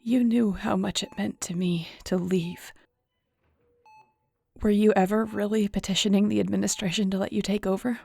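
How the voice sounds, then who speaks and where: A woman's voice: mid-range voice, steady tone, moderate pace, creaky, a little mellow, breathy edge. A woman playing a troubled, stubborn young werewolf leader in an audio roleplay drama, speaking in an emotional, confessional way. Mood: hurt, betrayed. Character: troubled female werewolf alpha, hurt, stubborn, self-doubting.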